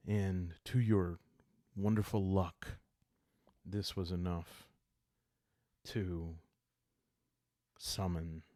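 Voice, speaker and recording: A man calmly narrating a solo role-playing game in a hushed, relaxing way, musing aloud as he goes. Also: low-pitched, dry recording, clean studio sound.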